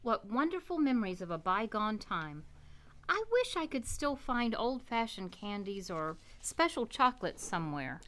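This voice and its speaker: A woman's voice: fairly high pitch, big pitch swings, moderate pace, a bit bright. A woman performing a scripted radio ad, eager and enthusiastic.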